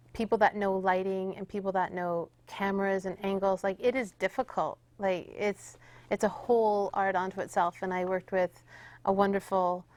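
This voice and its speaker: A woman's voice: medium pitch, darkish tone, no room sound. A woman with a Canadian accent chatting informally as an interview guest, peppering her talk with "like". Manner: informal, says "like" often. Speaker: guest musician. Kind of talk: casual interview chat.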